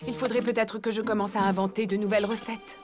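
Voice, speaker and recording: A woman voicing a patient cartoon elephant mother who talks gently and reassuringly to her kids. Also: mid-range voice, flat delivery, fast talker, over music, natural voice. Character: elephant mother, warm, patient home cook.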